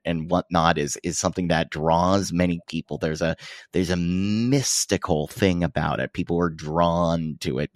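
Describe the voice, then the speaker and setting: Deep-voiced man hosting a podcast. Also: no echo, clean studio sound. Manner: halting, many fillers and restarts. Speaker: podcast host. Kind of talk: conversational interview.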